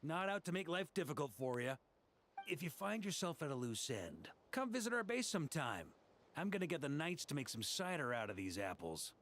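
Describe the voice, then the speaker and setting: An actor playing a jovial, easygoing grand master of knights in a video game, with a folksy, informal manner. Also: male voice, fairly high pitch, very animated, a little fast, fairly bright tone, slight background noise. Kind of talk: English video game voice acting.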